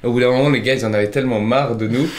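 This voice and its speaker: A man's voice: medium pitch, steady tone, racing. Young man chiming in with slangy asides. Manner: slangy, interjecting. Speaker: podcast co-host. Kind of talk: casual chat, chiming in on a story.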